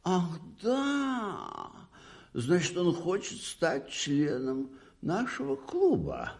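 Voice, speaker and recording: A stuffy English aristocrat presiding over a club in a radio play. He drawls pompously and slowly in a lowish, creaky voice that swoops wildly up and down. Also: wildly swooping pitch, exaggerated voice. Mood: musing, surprised. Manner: pompous, drawling, hesitant deliberation.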